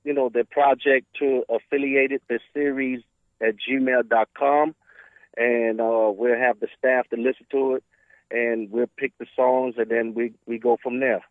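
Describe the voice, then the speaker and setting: A man's voice: medium pitch, little pitch movement, no echo. Man speaking over a tinny phone line. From born in Brooklyn, raised in Miami. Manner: halting, repeats words, chuckles often. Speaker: film writer and producer, phone guest. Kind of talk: casual radio phone interview.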